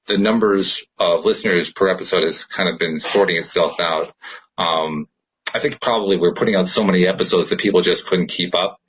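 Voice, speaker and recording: A man's voice: low-pitched, fairly bright tone, breathy edge, poor audio. A man co-hosting a podcast in a casual, reflective way, often rambling and searching for words. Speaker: podcast co-host. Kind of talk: casual spiritual chat.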